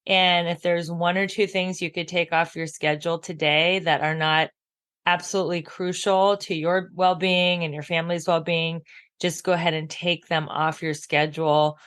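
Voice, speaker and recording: Lowish froggy morning voice, middle-aged woman chatting, lots of "like". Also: level tone, normal speed, fairly bright tone, clear, resonant tone. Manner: emphatic, uses lots of "like". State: husky morning voice. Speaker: podcast guest, abundance mentor. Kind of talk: casual interview talk.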